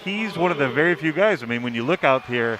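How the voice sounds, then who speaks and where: A man's voice: a little high, animated, fast. A man chatting casually as a sports writer on a panel, repeating phrases and posing rhetorical questions. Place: large indoor arena. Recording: noisy arena ambience behind.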